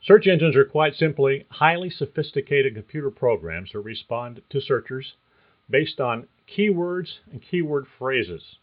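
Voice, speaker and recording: A man hosting a career podcast, reading a script in a measured, explanatory way. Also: medium pitch, expressive, dull audio. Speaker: podcast host. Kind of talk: scripted narration.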